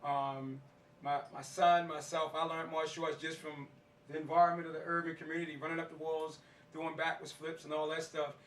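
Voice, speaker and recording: A man's voice: fairly high pitch, level tone, a little fast, fairly bright tone. A middle-aged American man, a martial arts teacher, talking informally to an audience in colloquial slang, repeating phrases for emphasis. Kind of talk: informal talk to a live audience. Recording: echoey room, distant mic, faint noise.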